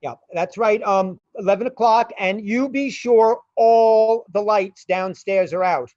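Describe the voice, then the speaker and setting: A woman playing a cautious criminal go-between in a radio play, halting and careful, on a dull recording. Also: medium pitch, a little mellow, lightly acted. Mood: cautious, hesitant. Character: cautious, hesitant criminal go-between giving orders. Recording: dull, echoey room sound.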